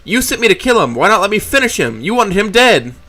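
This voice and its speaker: A man voicing a young storybook dinosaur who is furious, swearing and shouting in a raised, high voice. The character is pushed to the edge of rage throughout. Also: expressive, brisk. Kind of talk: reading a fan story aloud.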